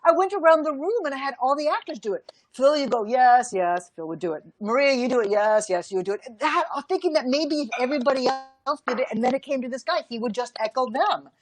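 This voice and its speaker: A woman's voice: high-pitched, animated, quick pace, hardly any pauses, a crowd in the background. A woman voice director telling anecdotes on a panel in an emphatic way, repeating phrases and acting out quotes. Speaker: animation voice director.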